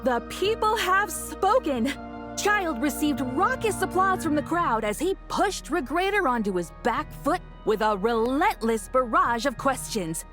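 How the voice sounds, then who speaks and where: A woman voicing a brash, cheeky tabloid street reporter in a video game, with an excitable, theatrical salesy delivery. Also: high voice, a little slow, faint music behind, exaggerated voice. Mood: excited, dramatic. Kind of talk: video game character acting.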